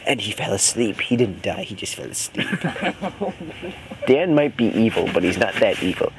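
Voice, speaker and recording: A man's voice: lowish voice, very animated, a little slow. A man improvising a comic horror story for friends, imitating a parody voice and often repeating himself as he goes. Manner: imitating a cartoon parody character's voice as a joke; stumbling, repeats words and phrases. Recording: noisy amateur home recording.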